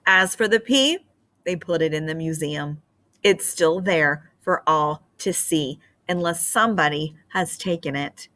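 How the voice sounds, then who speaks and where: Teacher, middle-aged woman; animated storybook reader, bright tone, dry close mic. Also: low-pitched, unhurried, no echo. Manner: expressive and animated. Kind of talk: storybook read-aloud and lesson. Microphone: webcam on a screen share.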